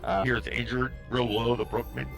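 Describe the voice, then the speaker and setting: A man's voice: medium pitch, flat delivery, moderate pace, vocal fry, fairly bright tone, faint music behind. A man running a tabletop game as game master, narrating and explaining in a rambling way full of fillers. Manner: rambling, thinking aloud, many fillers.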